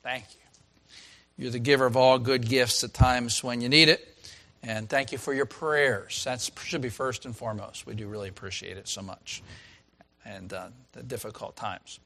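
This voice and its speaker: A pastor with a raspy voice preaching a sermon in a folksy, chatty way, asking the congregation questions. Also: male voice, mid-range voice, fairly even intonation, moderate pace, pushed and bright. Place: church.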